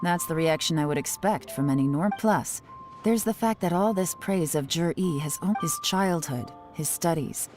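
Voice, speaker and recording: Woman as a low-voiced, shrewd investigator. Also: over music, natural voice. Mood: analytical. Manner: measured, analytical, knowing. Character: shrewd, composed female investigator. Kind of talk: video game voice acting.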